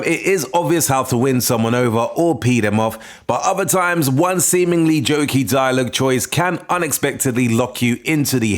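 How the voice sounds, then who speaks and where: A man narrating a scripted video game review as the channel's host, in an informal, conversational way. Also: medium pitch. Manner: informal, conversational opinion-giving.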